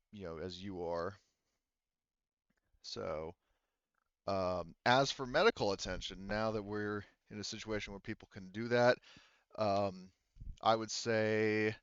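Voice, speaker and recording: A man working as game master, casually narrating a sci-fi tabletop roleplaying game in a halting, think-aloud way. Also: fairly low pitch, fairly bright tone, clean tone, no room sound, highs cut off.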